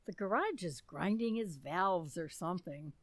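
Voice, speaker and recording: A woman playing a matter-of-fact middle-aged mother, unhurried, in old-time radio comedy style. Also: on the low side, expressive, no echo.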